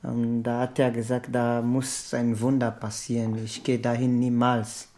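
A woman, not a German speaker, retelling a story haltingly as she searches for words. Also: rumbling low, flat delivery, warm tone.

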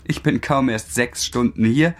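Actor as a languid, arrogant young gentleman. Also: medium pitch, measured pace, close to an everyday voice. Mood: teasing, bored. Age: young adult. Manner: languid, teasing, condescending. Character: bored, charming, arrogant young aristocratic Oxford student. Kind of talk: German radio drama acting.

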